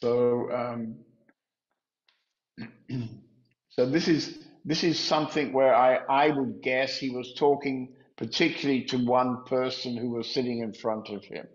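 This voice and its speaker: An older man, a spiritual teacher, explaining in a measured, gently halting way on a video call. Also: medium pitch, steady tone. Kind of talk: informal teaching and chat. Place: room at home, indoors. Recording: echoey room, dull lo-fi sound.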